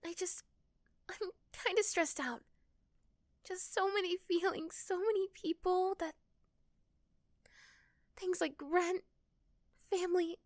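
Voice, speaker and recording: Sweet, high-voiced young girl next door, acted by a woman. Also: steady tone, takes it slowly, long pauses, no echo, studio quality. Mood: stressed, defensive. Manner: soft, hesitant, gentle. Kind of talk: ASMR roleplay acting.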